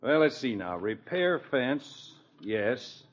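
Middle-aged radio sitcom dad, husky, very animated, pompous and fatherly, muffled old broadcast. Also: medium pitch. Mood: earnest, instructive. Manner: fatherly lecturing, deliberate and self-important. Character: genial, self-satisfied suburban father, full of plans. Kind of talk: 1950s radio sitcom acting.

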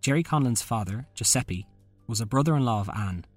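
A man's voice: medium pitch, lively intonation, brisk, dry recording, soft background music. A man hosting a podcast, reading a scripted true-crime narration. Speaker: podcast host.

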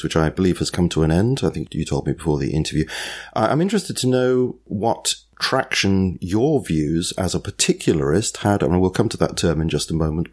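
A man hosting a podcast interview, asking measured, thoughtful questions and murmuring assent. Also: low voice, darkish tone. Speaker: podcast host.

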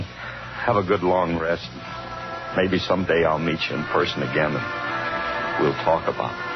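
An American man acting a remorseful, hunted man in a 1950s radio thriller. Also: deep voice. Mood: grave remorse. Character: doomed, remorseful hunted man facing a vengeful mob. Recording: dull 1950s radio broadcast with music.

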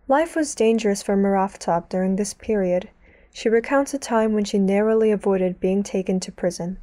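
A woman giving scripted documentary narration in an even, measured delivery. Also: mid-range voice, no echo, clean studio sound.

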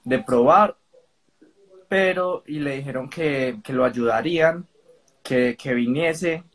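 A man's voice: medium pitch, slow, a bit bright. A man with a Colombian accent, speaking as a caller in a casual, polite, somewhat hesitant and roundabout way. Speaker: caller on a live stream. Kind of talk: casual spoken account.

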